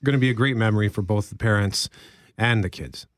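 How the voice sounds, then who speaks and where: A man's voice: on the low side, quick pace, no room sound, studio quality. A man co-hosting a live radio show, talking in a casual, conversational way. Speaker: radio co-host.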